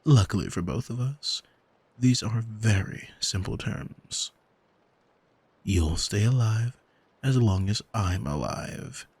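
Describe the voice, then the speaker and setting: A man playing an arrogant demon in an ASMR audio drama, smug and teasing, speaking deliberately and intimately to the listener with a husky voice, close on the microphone. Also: on the low side, lively intonation, darkish tone, dry recording, full character voice. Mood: smug, controlling. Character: arrogant male demon who owns a soul, smug, taunting. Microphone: close studio microphone, dry.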